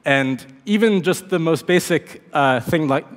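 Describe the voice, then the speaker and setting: A man in his thirties with a cold and a slightly hoarse voice, giving a relaxed technical talk on a conference stage with many fillers. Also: on the high side, unhurried. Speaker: conference speaker. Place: large conference hall stage. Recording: reverberant hall.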